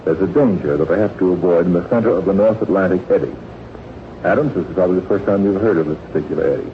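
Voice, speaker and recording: A man with a low voice hosts a quiz on 1940s radio, reading out questions and ribbing the contestants. The sound is thin and narrow, with a light layer of old broadcast noise. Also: rapid-fire. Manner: bantering, teasing. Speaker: quiz show host.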